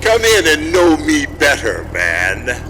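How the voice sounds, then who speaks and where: A man playing a jolly, grand, fat giant of a gentleman in a radio drama, with a booming theatrical delivery. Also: wildly swooping pitch, slow, full character voice. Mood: jovial, grand. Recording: cavernous reverb, background noise.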